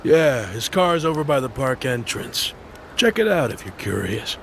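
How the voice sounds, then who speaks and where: A streetwise lookout in a game dub. His voice is mid-pitched and his manner is helpful and slangy, with faint outdoor noise behind him. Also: very animated, a little slow, vocal fry. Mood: casual, helpful. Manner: casual, slangy. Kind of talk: English video game dub acting.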